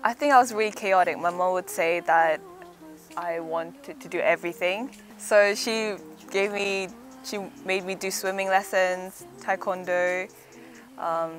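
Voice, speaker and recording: Young woman, relaxed interviewee, slight American lilt, lowish voice, faint music under her. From Hong Kong. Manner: chatty, reflective, laughs easily. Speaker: interviewee, singer-songwriter. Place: outdoors in a back garden.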